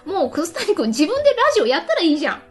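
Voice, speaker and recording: A woman's voice: extremely high pitch, big pitch swings, very fast. A woman hosting a casual radio talk show, reading out listener messages. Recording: light music behind the voice. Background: background music.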